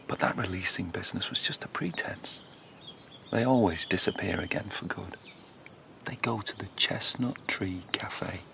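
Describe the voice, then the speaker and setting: An actor in a radio drama playing an earnest, haunted rebel, speaking with confiding intensity. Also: male voice, low voice, normal speed, gravelly, bright, forceful tone, in a whisper, exaggerated voice. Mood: urgent, confiding. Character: earnest, haunted male dissident, hungry for rebellion. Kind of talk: naturalistic radio drama acting.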